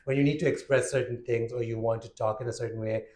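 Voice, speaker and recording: A man of ordinary pitch hosts a relaxed conversation, his first language Urdu. He talks in a loose, reflective way, full of fillers and affirmations. The room adds a clear echo around his voice. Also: quick pace. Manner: warm affirming, frequent fillers. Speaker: podcast host. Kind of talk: casual conversational interview.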